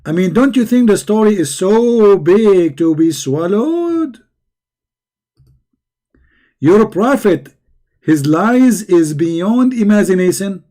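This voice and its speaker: A hoarse man who is losing his voice, giving a sarcastic, scornful commentary. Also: high voice, animated, slow, long pauses, dark tone. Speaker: religious critic. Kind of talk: mocking video commentary.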